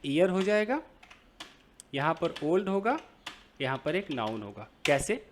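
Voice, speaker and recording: A young man with an Indian accent teaches beginners English from a newspaper. His voice swings freely up and down in pitch, and he draws out English phrases slowly before explaining them. He keeps checking in with his viewers through questions. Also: big pitch swings, a little hiss or hum.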